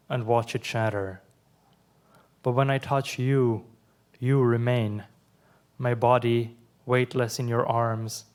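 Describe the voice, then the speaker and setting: A young adult man from Iran, whose first language is Persian, reads his poems aloud in a measured way, with quiet asides between them. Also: mid-range voice, clear, resonant tone. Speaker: poet. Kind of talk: live poetry reading.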